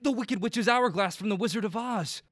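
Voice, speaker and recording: A man's voice: sits high, normal speed, fairly bright tone, no room sound. An actor playing a polite, wide-eyed young man who admires movie props, in an audio drama. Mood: impressed.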